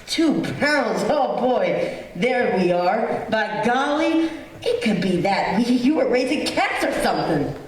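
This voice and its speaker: A woman's voice: on the high side, big pitch swings, takes it slowly. A woman playing a folksy, rambling, good-humoured shopkeeper in an old-time radio play. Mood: teasing, placating. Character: chatty, folksy butcher shopkeeper, a married woman. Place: theatre stage. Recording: echoey theatre, distant mic, faint noise.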